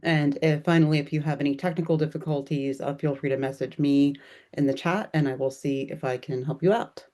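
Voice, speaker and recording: Woman, mellow warm tone, relaxed delivery, frequent ums, moderating a video call. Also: low voice, brisk. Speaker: moderator running the online program. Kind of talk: procedural announcements on a video call.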